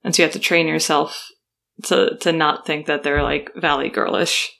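Frank low-voiced co-host woman. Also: fairly even intonation, normal speed, vocal fry, pushed and bright. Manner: frank, informal, swears casually. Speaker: podcast co-host. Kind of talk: casual interview chat.

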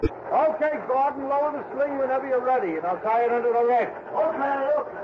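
Chatty police sergeant from a 60s radio show, highish voice, folksy, noisy old recording. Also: male voice, brisk, dull audio. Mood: busy, calling out. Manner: chatty, emphatic, colloquial. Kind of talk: early 1960s radio drama acting.

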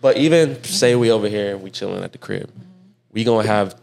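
A man's voice: fairly low pitch, fairly quick, vocal fry, a little mellow. A man in his twenties talking casually and in slang as a panelist in a group chat. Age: 20s. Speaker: panelist on a dating talk show.

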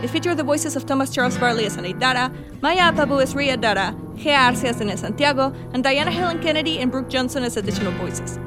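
Lady reading podcast credits real quick, high voice, guitar music behind her. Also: animated. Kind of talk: scripted credits read.

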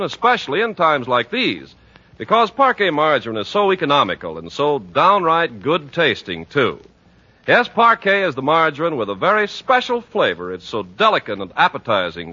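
Bright, animated American 1940s radio announcer. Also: male voice, fairly high pitch, moderate pace, breathy edge. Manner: polished, emphatic. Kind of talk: 1940s radio announcing, scripted sponsor pitch. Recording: muffled 1940s radio broadcast, music behind.

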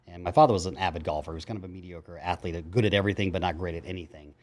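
A man's voice: low voice, rapid-fire. A man in his fifties, a psychologist guest, telling his life story in casual interview chat and acting out the voices of people he quotes. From grew up in Japan and Germany. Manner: animated storyteller, acts out quotes.

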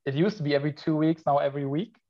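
Man, chatty. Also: a little high. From Poland. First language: Polish. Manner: halting, with many restarts and laughs. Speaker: podcast guest. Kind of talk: casual chat. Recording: muffled lo-fi sound.

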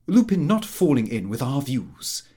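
A man reading a comic first-person diary as an audiobook, in a prim, expressive, self-important manner. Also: mid-range voice, a little slow, breathy edge. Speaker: audiobook narrator.

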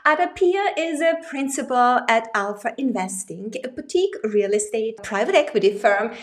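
A woman's voice: fairly high pitch, lively intonation, moderate pace. A woman hosting an interview show, reading a formal guest introduction and then chatting with some hesitant fillers. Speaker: podcast host.

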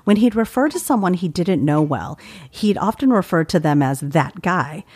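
A woman's voice: fairly low pitch, animated, normal speed, a little mellow, husky. A woman co-hosting a podcast, reading listener letters aloud in casual chat and laughing often. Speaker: podcast co-host.

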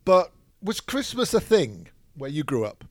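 Middle-aged Manchester man, Northern English accent, dry grumpy wit, slightly high voice, close dry sound. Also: sing-song, measured pace, dry recording. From England. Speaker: podcast co-host. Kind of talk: casual chat.

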